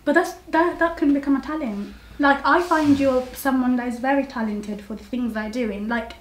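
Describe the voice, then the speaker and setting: High-voiced giggly young woman. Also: steady tone, normal speed. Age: young adult. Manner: giggly, chatty. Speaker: sister of the host, guest. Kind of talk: casual sibling banter. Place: bedroom, indoors. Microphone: camera on a tripod, a few feet away. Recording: echoey bedroom, faint hiss.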